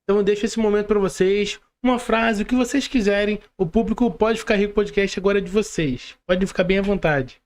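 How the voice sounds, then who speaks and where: A Brazilian man with a notably high voice hosts a podcast. He talks informally and emphatically, often repeating his phrases as he addresses guests and audience. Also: fast, hardly any pauses. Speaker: podcast host. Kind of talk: casual podcast hosting.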